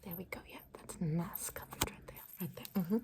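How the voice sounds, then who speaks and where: A woman doing an improvised ASMR makeover roleplay, mixing soft breathy whispers with murmured speech in a playful, rambling, soothing way. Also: fairly low pitch, fairly even intonation, fairly quick.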